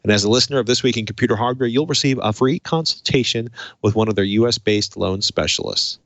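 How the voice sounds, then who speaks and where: Low-pitched man hosting, dry close sound. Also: fast talker, a bit bright, no room sound. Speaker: podcast host. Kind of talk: tech podcast talk and host-read sponsor spots.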